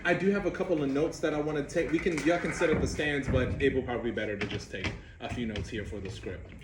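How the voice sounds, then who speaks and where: A man directing a rehearsal in a theater, giving script notes in a casual, halting way with many restarts. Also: mid-range voice. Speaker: director and playwright. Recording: noisy room.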